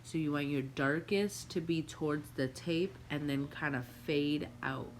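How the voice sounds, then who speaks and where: Young female beauty vlogger, nasal from a cold, low-pitched and unhurried; casual tutorial patter at home. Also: slight background noise. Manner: relaxed, chatty, addresses viewers as guys. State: stuffy nose from a cold. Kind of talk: makeup tutorial walkthrough. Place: home room with a Christmas tree.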